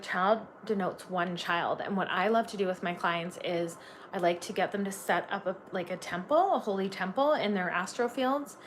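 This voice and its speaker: A woman's voice: mid-range voice, a little hiss or hum. A woman from Canada giving a live tarot reading, chatty and gushing, full of "like" fillers. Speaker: tarot reader.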